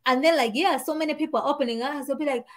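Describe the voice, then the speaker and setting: A woman's voice: high-pitched, racing. A woman from Malawi with a Malawian accent, a guest talking casually and animatedly on a video call from home. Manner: animated, talks with her hands. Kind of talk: casual interview chat. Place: home room with shelves and warm lamp. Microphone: video call, earbuds.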